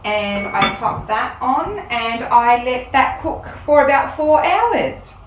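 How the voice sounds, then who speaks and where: A woman's voice: a little high, sing-song, takes it slowly, recorded from a distance. Female teacher, casual cooking demo at home, talks step by step with ums; roomy sound, mic set back, faint room noise. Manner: chatty, explains step by step with frequent ums. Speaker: Italian teacher.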